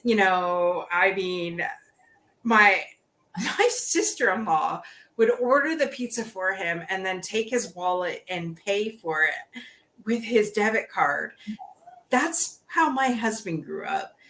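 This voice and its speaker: A woman's voice: medium pitch, expressive, slow, a bit bright. A woman hosting an interview podcast, chatting casually with plenty of restarts and "you know". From Canada. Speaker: podcast host.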